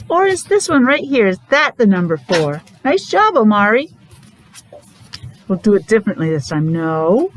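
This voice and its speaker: A woman's voice: a little high, slight background noise, low-quality recording. A woman preschool teacher leading a counting lesson for young children, encouraging and asking lots of questions. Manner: encouraging, asks questions with pauses.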